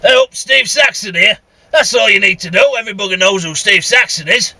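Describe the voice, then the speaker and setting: A man's voice: sits high, fairly quick, pushed and bright, no room sound. A man with a Northern English accent rambling casually and bluntly in a vehicle, grumbling and swearing as he goes. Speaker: CB radio vlogger. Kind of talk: casual rambling monologue while driving. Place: inside a vehicle.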